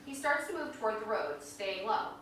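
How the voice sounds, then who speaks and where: A woman's voice: a little high, animated, moderate pace, fairly bright tone. A woman reading scripted stage directions as narrator of a live staged reading. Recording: distant, very echoey room, faint noise.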